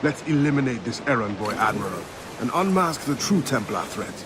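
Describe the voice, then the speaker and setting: An actor playing a determined, commanding ship captain, a pirate turned assassin, in a dramatic video game. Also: male voice, medium pitch, big pitch swings, fairly quick, noisy background, close to an everyday voice. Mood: grim, resolute. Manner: commanding, resolute.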